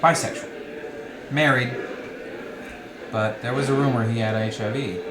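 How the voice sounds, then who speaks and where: A man acts a tipsy, wry character in a story, trying to sound sober. The delivery is deadpan and hesitant, in a medium-pitched voice. The room around it is echoey. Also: measured pace, noisy background, natural voice. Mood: wry, musing. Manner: hesitant, deadpan, earnestly straight-faced. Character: tipsy, wry sick man, Colin, feigning sobriety.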